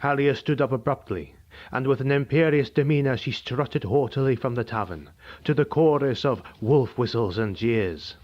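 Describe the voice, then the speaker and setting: A man narrating a dark fantasy audiobook in a measured, dramatic way. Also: medium pitch. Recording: dull lo-fi recording.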